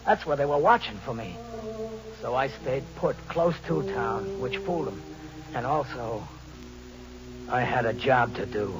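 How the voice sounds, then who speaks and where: An actor playing a hunted, vengeful drifter in an old radio thriller, talking in tough slang with melodramatic, haunted delivery. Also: male voice, mid-range voice, expressive, unhurried, pauses often, music in the background, lightly acted. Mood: grim, wary. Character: hunted, desperate, vengeful male drifter on the run. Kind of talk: 1940s radio thriller acting with first-person narration. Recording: noisy, muffled old radio recording with hum.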